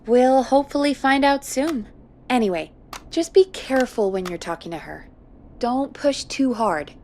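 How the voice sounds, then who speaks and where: An actress playing a level-headed, cautious radio show producer in a video game, composed and practical. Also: female voice, high voice, expressive, moderate pace, a little mellow, slight background noise.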